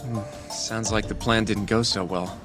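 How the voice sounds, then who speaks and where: A man's voice: on the low side, fairly even intonation, a little slow, music in the background, lightly acted. An actor playing an earnest, polite young male colleague in a video game, mild and a little hesitant in conversation. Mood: curious, helpful.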